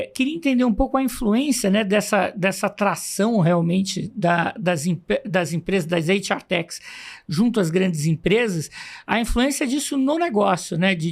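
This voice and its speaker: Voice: medium pitch, lively intonation, moderate pace, gravelly. A host asking long, rambling interview questions that are often tagged with "né".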